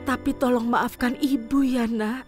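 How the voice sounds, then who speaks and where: A woman voicing a loving older mother in an audio drama, speaking in a soft, tearful, pleading way. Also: on the high side, fairly even intonation, moderate pace, faint music behind, close to an everyday voice. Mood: apologetic.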